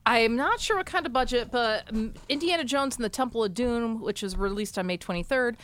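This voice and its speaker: A woman's voice: fairly high pitch, a little fast, fairly bright tone. Chatty forties woman with many ums. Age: 40s. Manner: chatty, giggly, hesitant with ums. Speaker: podcast co-host. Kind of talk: casual group podcast chat.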